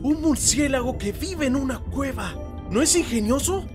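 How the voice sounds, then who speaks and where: A man voices a high-pitched, talkative cartoon villain, excitable and a little cowardly. His wordy musings play over prominent music. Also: moderate pace. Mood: fascinated. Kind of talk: animated fan film voice acting. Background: loud music.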